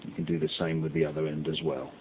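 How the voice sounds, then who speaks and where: A man's voice: very deep voice, little pitch movement, fast talker. A man presenting a hands-on science tutorial, explaining each step methodically and stressing the key words. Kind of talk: step-by-step instructional demonstration. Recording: muffled lo-fi audio with background hiss.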